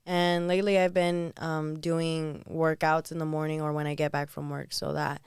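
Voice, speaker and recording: A woman in her twenties, a guest on a podcast, chatting casually in a bubbly, animated way. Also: low voice, moderate pace, clear, resonant tone, no echo, clean studio sound. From Southern California. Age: 20s. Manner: bubbly, animated, laughs often.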